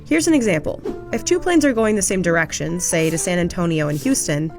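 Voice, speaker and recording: A woman's voice: lowish voice, brisk. A woman reading scripted documentary narration in an explanatory manner. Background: background music.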